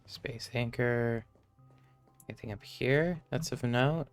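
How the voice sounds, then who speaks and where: A man's voice: mid-range voice, takes it slowly, faint music behind. A man streaming a game, giving offhand commentary and reading text aloud, sometimes stumbling over it.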